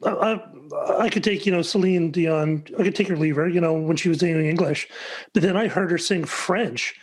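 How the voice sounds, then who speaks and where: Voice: medium pitch, normal speed. A radio co-host asking questions in an interview, enthusiastic and rambling. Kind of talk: interview conversation.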